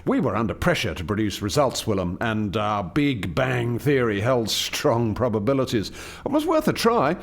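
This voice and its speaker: Radio play lead: male actor as proud alien project scientist, formal and articulate, very lively pitch movement, mid-range voice. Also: normal speed. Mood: candid, animated. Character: male alien chief scientist, eager, proud, explaining his experiment. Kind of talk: radio play dialogue, expository.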